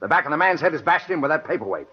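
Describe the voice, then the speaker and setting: An actor playing a hard-boiled, bullying police captain in an old radio drama, blunt, slangy and sarcastic. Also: male voice, on the high side, very animated, racing, sharp tone, slightly rough, full character voice. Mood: impatient. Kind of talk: 1940s radio acting. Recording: muffled old radio recording.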